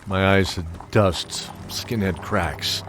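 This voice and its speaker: Man playing a deep-voiced weary old knight. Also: background noise. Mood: wistful, earnest. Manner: measured, theatrical, chuckling reminiscence. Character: weary old male knight, devoted, wistful. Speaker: narrator and lead character. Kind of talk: audio drama storytelling. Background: music under the voice.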